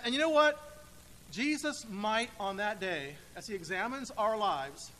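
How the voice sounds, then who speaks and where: A man preaching a sermon in a church, speaking emphatically and deliberately with dramatic pauses. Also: high voice, sharp tone. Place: church sanctuary, indoors. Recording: echoey church hall with faint noise.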